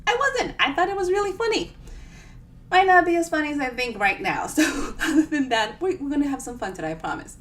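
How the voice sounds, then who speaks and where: A woman's voice: high-pitched, sing-song, fairly quick. A woman author hosting a casual live book reading, chatting to viewers in a playful, self-deprecating way. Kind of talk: casual livestream chat to viewers. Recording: distant mic with clear background noise.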